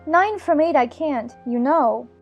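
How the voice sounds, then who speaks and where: A woman's voice: sits high, lively intonation, a little slow, dull audio, faint music behind. A woman playing a prim, earnest, argumentative young girl in a dramatized storybook.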